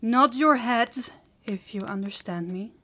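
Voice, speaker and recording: Slow, haughty demon mistress, voiced by a woman. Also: fairly high pitch, sharp tone, slight background noise. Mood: cold, threatening. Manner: slow, haughty, commanding, taunting. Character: harsh, dominant, sadistic female demon, possessive and menacing. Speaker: voice actor. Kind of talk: intimate scripted audio roleplay.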